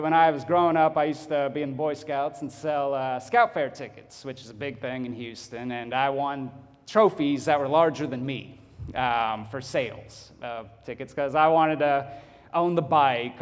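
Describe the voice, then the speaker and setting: A man in his thirties from Texas with a Texan accent, giving a personal testimony speech to a club, halting with many ums and uhs. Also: a little high, clean tone. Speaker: guest speaker. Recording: hall reverb.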